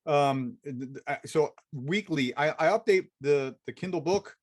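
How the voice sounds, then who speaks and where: Male guest, somewhat high voice, relaxed and chatty. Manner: casual, chatty, halting restarts. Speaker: podcast guest. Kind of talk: conversational interview.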